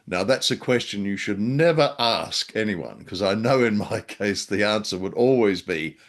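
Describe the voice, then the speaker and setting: A man's voice: lowish voice, lively intonation, normal speed. A man co-hosting a podcast, chatting casually about an old radio drama, hesitant with many ums and a dry, self-mocking humor. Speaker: podcast co-host.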